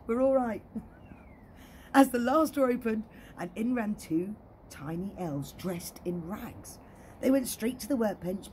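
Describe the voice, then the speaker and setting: Female children's entertainer, playful and animated storyteller, softly mellow tone, recorded outdoors. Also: mid-range voice, a little hiss or hum. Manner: playful, expressive. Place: outdoor garden.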